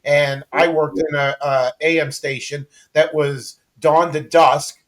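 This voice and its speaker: A man's voice: on the high side, little pitch movement, slow. A middle-aged man hosting a casual interview, talking in a conversational way. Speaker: interview host.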